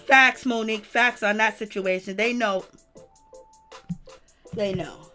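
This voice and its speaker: A hoarse woman hosting a livestream, speaking fervently and greeting viewers in the chat. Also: mid-range voice, steady tone, unhurried, pushed and bright, soft background music. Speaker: livestream host. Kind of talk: impassioned livestream commentary.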